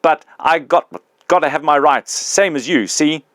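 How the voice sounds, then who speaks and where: A blustering big male ghost, put on by a male narrator raises his voice in coarse, self-righteous complaint. His pitch climbs above an everyday male voice and he sounds loud and indignant. The sound is dry and close, with no room around it. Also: big pitch swings, unhurried, dry recording. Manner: loud, indignant, coarse working-class speech. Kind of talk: audiobook reading.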